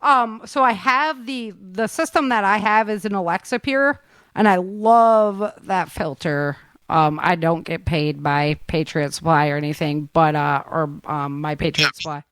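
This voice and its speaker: A woman's voice: fairly low pitch, a little slow, a bit bright, clear, resonant tone, no room sound. A woman hosting a podcast in casual chat, informal and slangy, chiming in freely. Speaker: podcast host.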